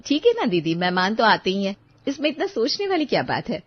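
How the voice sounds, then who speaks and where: A woman voicing a humble, apologetic working mother with a pleading, self-effacing manner in an animated moral story. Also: medium pitch, fairly quick, dry recording, dull audio, voice pushed up.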